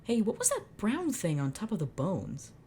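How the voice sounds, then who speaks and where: A woman voicing a blunt, aloof teenage boy who answers in flat, curt replies. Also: medium pitch, moderate pace, slight background noise, pitched above the performer's own voice.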